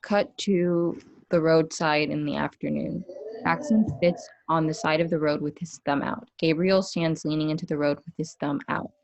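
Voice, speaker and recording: Low-voiced woman reading stage directions. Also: steady tone, moderate pace, vocal fry, darkish tone. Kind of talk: scripted narration.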